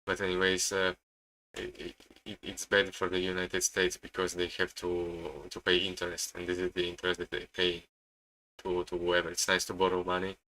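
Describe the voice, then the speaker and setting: A man from Italy with an Italian accent, giving casual live market commentary as a streamer, halting and often repeating himself as he searches for words. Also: low-pitched, bright, forceful tone, poor audio. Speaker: day trading live streamer.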